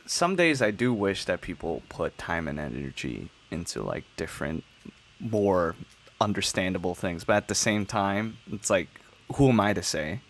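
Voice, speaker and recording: Casting: young man around twenty, low-pitched voice, loose podcast chat, emphatic and sweary. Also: very animated, normal speed. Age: 19, turning twenty. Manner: profane, emphatic rambling. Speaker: podcast host. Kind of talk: casual chat.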